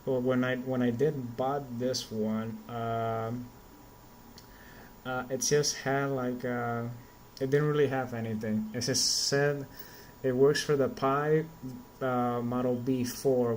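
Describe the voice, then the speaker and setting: Hesitant male streamer host, casual and unscripted, mid pitch. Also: fairly even intonation, slow, slight background noise. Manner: hesitant, restarts often. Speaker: streamer host fixing his PC. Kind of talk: casual live-stream chat.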